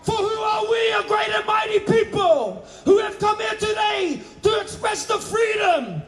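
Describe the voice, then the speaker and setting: A man shouting a declamatory, drawn-out chant and proclamation at a rally. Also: very high-pitched, expressive. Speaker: haka leader and speaker. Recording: echoey rally PA with crowd.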